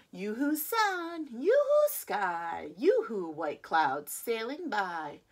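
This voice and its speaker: Slow, sing-song storytime teacher woman. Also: fairly high pitch, wildly swooping pitch, breathy edge. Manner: sing-song, playful storytime delivery with gasps and counting. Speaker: preschool teacher. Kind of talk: reading a picture book aloud to young children.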